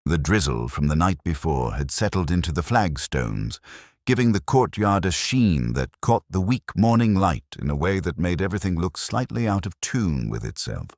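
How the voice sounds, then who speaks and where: An older man playing a melancholy, cerebral detective, narrating a scripted mystery in a measured, reflective way. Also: sits low, very animated, no echo, clean studio sound, exaggerated voice. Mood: uneasy, reflective. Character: melancholy, cerebral, brooding male English police detective.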